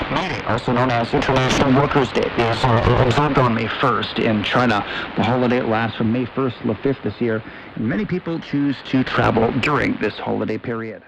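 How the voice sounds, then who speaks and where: A male news reader delivers a scripted bulletin in a mid-pitched voice. It comes through a shortwave radio, muffled and with clear noise over it. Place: radio broadcast heard through a shortwave receiver.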